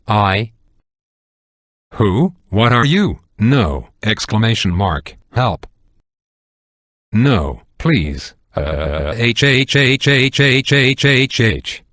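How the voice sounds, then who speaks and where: A courtly, flustered gentleman from a video game. His low voice swings widely in pitch, theatrical and mannered, in a dry close recording. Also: sing-song, slow, no room sound, heavily acted. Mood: panicked, stammering. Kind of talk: scripted game voice lines.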